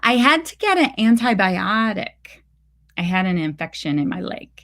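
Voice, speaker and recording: A woman's voice: medium pitch, big pitch swings, moderate pace, a bit bright. A woman voicing a curious, wistful seven-year-old girl in a story reading, plain and plaintive. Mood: matter-of-fact.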